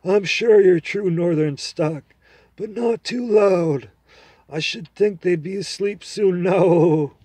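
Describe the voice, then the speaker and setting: A man's voice: sits high, takes it slowly, slightly rough, dry recording, voice pushed up, exaggerated voice. Man putting on a haughty talking warhorse. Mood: confiding. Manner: haughty, lecturing, loud and emphatic. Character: proud talking warhorse, male, adult, scornful. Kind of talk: storybook reading.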